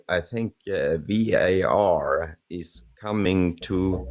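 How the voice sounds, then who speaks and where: A nervous man with a Norwegian accent calling into a radio phone-in. He talks in halting English, with many fillers and restarts. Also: low voice. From Norway. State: shaky with nerves. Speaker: caller.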